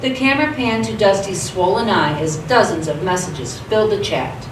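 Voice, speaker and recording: A woman's voice: mid-range voice, moderate pace. A woman reading scripted story narration for a radio theatre production. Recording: echoey stage, distant mic, clear noise.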